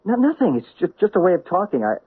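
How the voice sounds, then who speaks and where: An actor playing a nervous, stammering suspect with something to hide in an old radio drama. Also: male voice, sits high, sing-song, quick pace, husky, exaggerated voice. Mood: flustered. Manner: stammering, jittery. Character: nervous guilty middle-aged man, stammering. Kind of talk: 1970s radio drama acting. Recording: tinny band-limited old radio broadcast.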